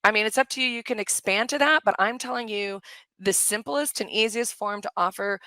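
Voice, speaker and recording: Chatty hoarse-ish woman hosting a live webinar, bright gravelly voice, dry close sound. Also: medium pitch, a little fast, no echo, low-quality recording. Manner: chatty, enthusiastic, thanks the chat often. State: slightly hoarse. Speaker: course host on a live webinar. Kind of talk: live webinar sales pitch and Q&A.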